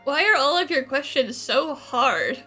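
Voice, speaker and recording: A woman's voice: sits high, animated, a little slow, pushed and bright, faint music behind. A woman giving casual gameplay commentary in a playful, wry way. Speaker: gamer playing along.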